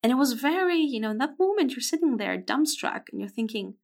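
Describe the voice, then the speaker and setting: A woman's voice: high voice, sing-song, fairly quick, creaky. A woman in her twenties, a podcast guest talking frankly and reflectively in a casual interview. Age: 20s.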